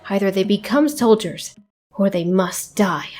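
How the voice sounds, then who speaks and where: A woman's voice: mid-range voice, unhurried, a little mellow, lightly acted. A woman playing a proud, ruthless ninja clan leader in a motion comic fan dub, speaking in a formal, imperious and menacing way. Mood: cold, insistent.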